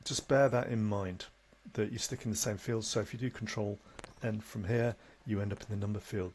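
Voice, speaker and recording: A man with a fairly low voice talks through a screen-recorded software tutorial. His delivery is instructional but conversational and unscripted, and there is some faint background noise on the recording. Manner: instructional, conversational, with tag questions.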